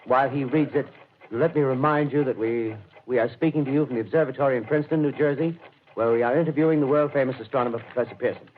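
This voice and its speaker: A man's voice: medium pitch, fast talker, close to an everyday voice. An actor playing an earnest radio field reporter in a 1930s drama, formal and now and then hesitant as he searches for words. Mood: formal, composed. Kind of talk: 1930s radio drama acting as live commentary. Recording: tinny, noisy old 1930s radio broadcast.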